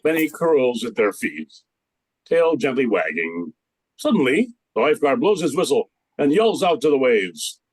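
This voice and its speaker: A man's voice: medium pitch, very animated, normal speed, rough recording. An older man narrating a scripted radio play in a storytelling style.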